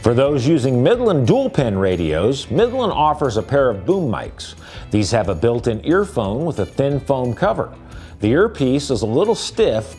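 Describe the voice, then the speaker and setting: A man's voice: medium pitch, a little mellow. Man narrating product review over music.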